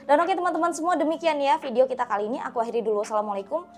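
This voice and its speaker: Chatty young woman vlogger, fast. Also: sits high, steady tone, faint music behind. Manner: chatty, many fillers and pious exclamations. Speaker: vlog host. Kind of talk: reaction vlog narration.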